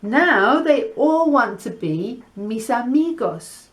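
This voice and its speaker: A woman's voice: a little high, sing-song, takes it slowly, highs cut off, pitched above the performer's own voice. A woman voicing a cheerful, outspoken young English girl in a children's story, exclaiming playfully. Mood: excited.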